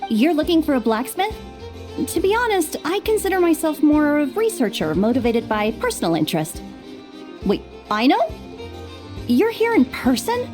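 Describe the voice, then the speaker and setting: A woman's voice: high-pitched, big pitch swings, moderate pace, music in the background. A woman voicing a chatty, friendly tinkerer who deals in scrap metal in a video game.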